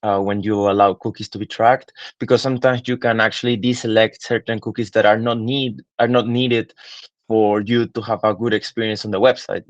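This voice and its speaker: A man's voice: on the low side, little pitch movement, fairly quick, no room sound, dull audio. A man in his twenties from Peru, a digital marketing consultant answering interview questions thoughtfully, with many fillers and restarts. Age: 20s.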